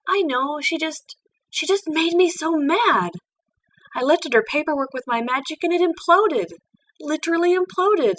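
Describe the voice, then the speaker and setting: An eager young pony princess , acted by a woman reading a story, pitched well up high. She is earnest and easily flustered, with a stammering way of talking. The homemade recording has faint noise behind it. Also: expressive, moderate pace, a bit bright. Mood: upset. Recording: distant mic with background noise.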